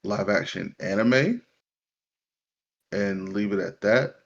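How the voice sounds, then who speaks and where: A man with a fairly deep voice on a slightly muffled recording. He talks casually as one of the panel in an informal group discussion. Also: slow. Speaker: panelist on an online pop culture show.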